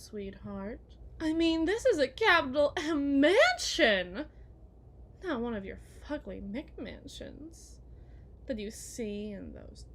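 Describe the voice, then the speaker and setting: High, swooping, possessive yandere wife. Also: female voice, heavily acted. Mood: sweetly possessive, then gushing, boastful. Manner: mannered, condescending, syrupy endearments, veiled threats. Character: possessive, genteel yandere kidnapper wife, menacingly sweet. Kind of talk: ASMR roleplay, theatrical monologue.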